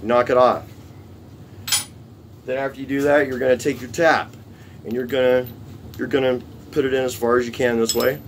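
A man's voice: mid-range voice, fairly even intonation, normal speed, long pauses. A middle-aged man, giving a casual, plainspoken hands-on tutorial and repeating his points. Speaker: DIY tool-building presenter. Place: small cluttered indoor workshop. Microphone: camera a few steps away. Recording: distant camera mic, noisy workshop hum.

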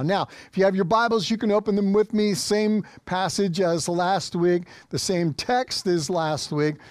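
A man's voice: sits high, a little mellow, dry recording, studio quality. An American man in his fifties preaching a sermon as a pastor, exhorting with emphatic repetition. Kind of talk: sermon to an online congregation. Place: small indoor church studio set.

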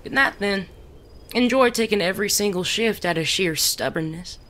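An actor playing a suave, teasing male gentleman bandit in a Western audio drama, genteel and wry. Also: mid-range voice, normal speed, close to an everyday voice. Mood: dry, teasing. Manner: genteel, wry, polite wording.

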